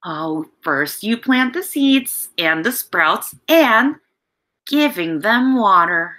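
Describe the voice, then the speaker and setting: Woman, middle-aged, bright voice, slow pace, children's read-aloud, explanatory, addresses listeners directly. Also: medium pitch, lively intonation. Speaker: teacher reading a picture book aloud. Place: home room with bookshelves. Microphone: video call.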